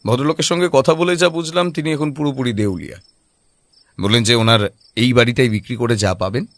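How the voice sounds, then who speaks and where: Man acting a gravelly, wry Bengali detective. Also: mid-range voice, no room sound, close to an everyday voice. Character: calm, wry male detective, dryly teasing his friend. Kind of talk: Bengali audio story acting.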